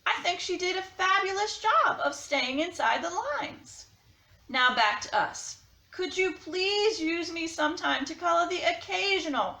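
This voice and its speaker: Woman putting on a sassy, high pink crayon. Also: sharp tone, reverberant, exaggerated voice. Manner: sassy, pointed. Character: sassy, neglected pink crayon demanding to be used. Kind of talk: read-aloud storybook character.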